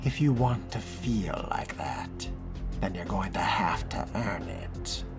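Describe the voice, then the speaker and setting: Guy roleplaying a suave moody vampire in a game, low wry murmur, takes his time, music behind. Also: animated, slow pace, exaggerated voice. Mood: smooth, controlling. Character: suave, sardonic, brooding male vampire fledgling. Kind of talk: role-play narration of a video game.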